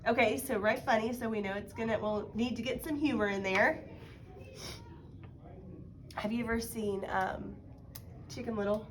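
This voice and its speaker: A woman's voice: on the high side, steady tone, normal speed, pauses often, slight background noise. A woman teaching a casual one-on-one writing lesson, encouraging and guiding with questions. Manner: encouraging, explains by prompting with questions.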